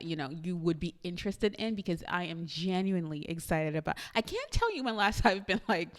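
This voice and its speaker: A woman with a Trinidadian accent talking chattily and eagerly to her viewers in a casual tutorial vlog. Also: on the low side. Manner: chatty, enthusiastic, addresses viewers directly with frequent laughs. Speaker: YouTube creator.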